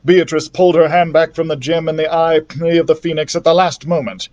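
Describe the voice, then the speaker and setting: A man's voice: on the high side, steady tone, brisk, darkish tone, muffled recording. A man reading a children's adventure story aloud as a library storytime reader, with careful, dramatic phrasing. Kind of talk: expressive scripted storybook narration.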